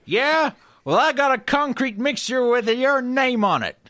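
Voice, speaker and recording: A blustering old west tool rival, acted by a man for a comedy radio play. His voice sits very high and swoops around as he brags and needles. He is always spoiling for a squabble, heard up close in a dry recording. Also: expressive, unhurried, pushed and bright, dry recording, heavily acted. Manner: blustering, taunting. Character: cantankerous bickering old west handyman, boastful tool rival.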